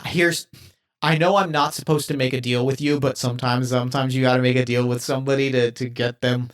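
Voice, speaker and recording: A man's voice: mid-range voice, steady tone, brisk, smooth, close to an everyday voice. A man voicing a blunt, earnest robot companion, pleading in a plain voice.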